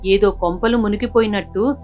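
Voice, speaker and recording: A woman's voice: mid-range voice, fairly even intonation, normal speed, highs cut off, voice pushed down, natural voice. Brief: narrator as middle-aged cartoon mum, female, protective, mildly exasperated, music underneath. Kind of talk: animated moral story dialogue.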